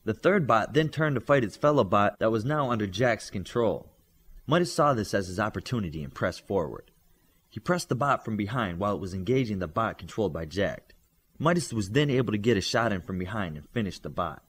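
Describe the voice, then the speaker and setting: Male audiobook narrator, lower register, highly varied intonation, telling an adventure story. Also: expressive, brisk, husky.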